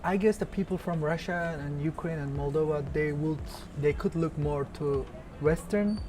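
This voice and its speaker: A man from Turkey, a native Turkish speaker with a Turkish accent, answering interview questions as a local guest in halting English with many pauses and restarts. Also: on the high side. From Turkey, Antalya.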